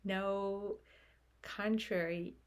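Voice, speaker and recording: A woman leading a guided meditation in a soft, breathy voice, speaking gently in short phrases with long pauses. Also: mid-range voice, fairly even intonation. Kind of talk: guided meditation, slow unfolding instruction.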